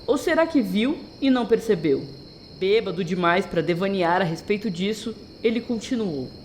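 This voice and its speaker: A woman's voice: mid-range voice, lively intonation, normal speed, darkish tone, slight background noise. Woman storyteller over night crickets. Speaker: narrator. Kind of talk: scripted storytelling narration of an audio drama.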